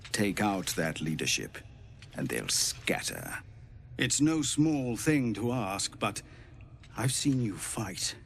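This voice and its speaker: An actor playing an earnest, burdened refugee leader in a fantasy game, speaking formally. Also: male voice, on the low side, very animated, slow pace, vocal fry, fairly bright tone, a little hiss or hum, full character voice. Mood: earnest, grave.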